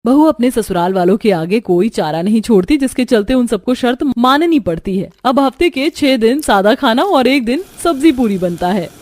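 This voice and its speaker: A woman's voice: fairly high pitch, expressive, moderate pace, few pauses, darkish tone, no room sound. A woman giving scripted narration for a cartoon family story.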